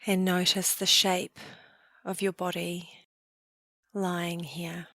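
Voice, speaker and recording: Slow, hushed woman guiding meditation. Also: on the low side, no room sound. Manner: calm, gentle, unhurried phrasing with soft trailing endings. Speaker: meditation guide. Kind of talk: guided meditation, slow soothing narration.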